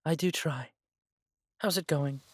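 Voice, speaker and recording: A man playing a humble, self-doubting immortal elf who runs a coffee shop in a fantasy audio drama, talking in a gentle, chatty way. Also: a little high, takes it slowly, background noise. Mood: light, teasing.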